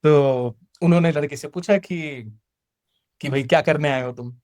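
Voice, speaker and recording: A man's voice: a little high, normal speed, dry recording, clean studio sound. A man with an Indian accent telling personal stories in casual chat, acting out dialogue and mixing Hindi with English. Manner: animated retelling with acted-out dialogue, switching between Hindi and English. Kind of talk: casual conversational storytelling.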